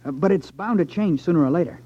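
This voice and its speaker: An actor playing a nervous young rich gambler in 1950s radio drama, polite and hesitant, stalling while bluffing confidence. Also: male voice, on the high side, animated, fast talker, mellow. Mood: uneasy, bluffing. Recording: dull 1950s radio broadcast recording.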